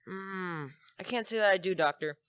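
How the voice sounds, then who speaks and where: A woman's voice: mid-range voice, moderate pace, a bit bright, smooth, no echo, natural voice. A teenage performer, a young woman, playing an eager, polite male lab assistant in an amateur radio play. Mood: earnest.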